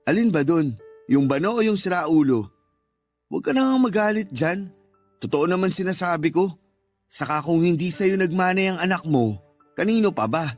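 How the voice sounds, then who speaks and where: Guy acting irritable mean husband, radio drama, pretty high voice, brushes everything off, soft music behind. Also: lively intonation, moderate pace, long pauses. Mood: callous, dismissive. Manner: dismissive, curt, defensive. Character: callous, irritable husband who resents his stepchild.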